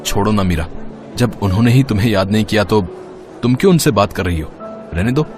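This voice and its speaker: A man playing a calm, protective family man in a cartoon household drama, with a placating manner. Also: lowish voice, fairly quick, music in the background, lightly acted.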